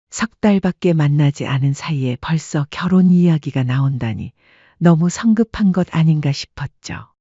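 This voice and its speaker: A man narrating a family story in a calm, measured scripted storytelling style. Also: on the high side, breathy edge, no room sound, lo-fi sound.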